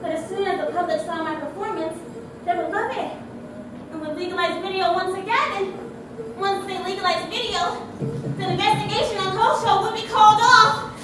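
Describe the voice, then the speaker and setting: Woman as high-voiced, earnest circus performer. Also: normal speed, noisy background. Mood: reflective. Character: conflicted young female circus performer, earnest and wary. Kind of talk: stage play acting, dramatic monologue. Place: indoor theater stage, distant mic. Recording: distant echoey theater, loud music behind.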